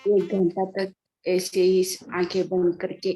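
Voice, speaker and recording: A woman teaching guided meditation, giving gentle step-by-step instructions with pauses. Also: lowish voice, monotone, highs cut off, faint music behind.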